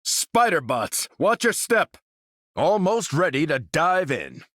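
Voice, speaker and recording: An actor playing a bold, determined battlefield hero in a video game, rallying soldiers in a clipped military delivery. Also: male voice, fairly high pitch, big pitch swings, takes it slowly, a bit bright, no room sound, clean studio sound, full character voice. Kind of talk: video game character voice lines.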